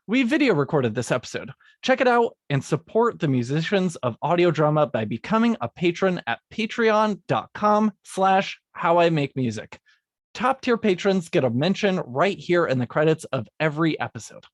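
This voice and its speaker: Male guest, slightly high pitch, halting delivery, dry close sound. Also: no echo. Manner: hesitant, halting, calls himself mealy-mouthed. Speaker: guest composer. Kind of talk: casual chat and reading an outro.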